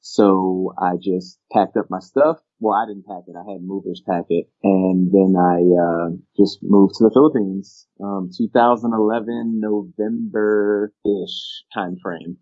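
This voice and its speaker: A young adult man from Baltimore, a podcast guest, telling travel stories in a laid-back, chatty way and often repeating words as he goes. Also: low-pitched. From Baltimore, USA. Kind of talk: casual interview chat. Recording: dull lo-fi sound.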